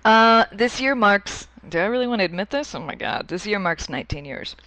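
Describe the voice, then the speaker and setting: A woman's voice: mid-range voice, lively intonation, fairly quick, a bit bright, no room sound. Wry, self-mocking woman guest. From New Hampshire, USA. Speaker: guest actress. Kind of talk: casual podcast chat.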